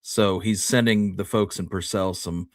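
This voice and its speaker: Male history co-host, deep voice, informal researcher talk with read-out archive items, dry sound. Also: steady tone, unhurried, dry recording. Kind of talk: casual discussion, reading out old newspaper items.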